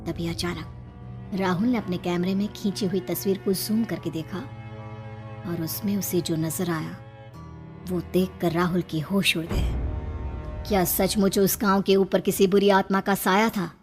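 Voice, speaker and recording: A woman's voice: medium pitch, fairly even intonation, unhurried, music in the background. A woman giving scripted narration of a horror story as its narrator.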